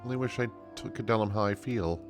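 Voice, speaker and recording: Man playing a lovestruck, quiet villager. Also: fairly low pitch, fast talker, over music, close to an everyday voice. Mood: pining. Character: lovestruck, bashful villager pining over a vendor.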